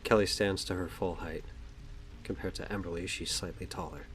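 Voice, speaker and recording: A man's voice: deep voice, steady tone, moderate pace. A man narrating a scripted audio drama, describing the action as the story's narrator.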